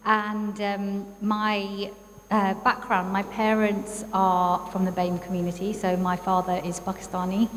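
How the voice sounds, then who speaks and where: Chatty woman in an echoing hall. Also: mid-range voice. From East London, England. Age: 30s. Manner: warm, chatty, frequent fillers. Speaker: guest speaker, psychologist. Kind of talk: personal talk at a community event. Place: church hall. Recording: reverberant hall, faint background noise.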